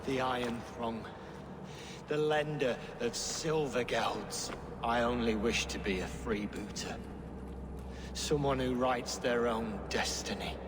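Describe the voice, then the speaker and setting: A man as slow, echoing, weary Viking warrior. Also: mid-range voice, close to an everyday voice. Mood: weary, wistful. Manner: measured, solemn. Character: weary, defeated male Viking warrior reciting past titles. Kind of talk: solemn poetic video game dialogue. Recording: long cavernous reverb, clear background noise.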